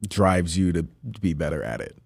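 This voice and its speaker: A man's voice: low-pitched, expressive, normal speed, creaky, no echo, studio quality. A young man asking curious questions in a casual podcast conversation.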